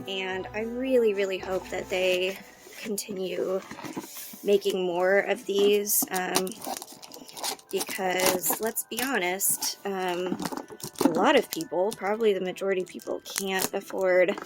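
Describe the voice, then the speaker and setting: A woman in her thirties who sounds hoarse, chatting casually with lots of ums and likes while unboxing a doll for her channel. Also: medium pitch, steady tone, takes it slowly, background noise. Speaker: doll collector vlogger.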